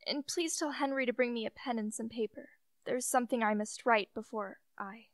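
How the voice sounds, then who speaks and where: A woman's voice: on the high side, a little fast, dry recording, natural voice. A young woman playing an ailing, fearful wife who pleads plaintively in a radio play. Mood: weak, pleading. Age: young adult. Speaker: student actor.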